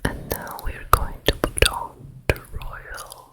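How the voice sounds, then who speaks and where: A woman voicing a vain, wicked fairy-tale queen in a gentle, self-satisfied ASMR roleplay, in a breathy whisper. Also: takes it slowly. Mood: vain, soothing. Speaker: ASMR performer.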